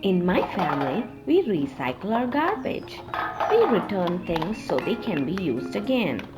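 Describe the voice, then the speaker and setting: Very animated woman reading a children's story. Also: on the low side, unhurried, rough recording. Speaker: storybook reader. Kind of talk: animated children's read-aloud narration. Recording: music playing behind the voice. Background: music.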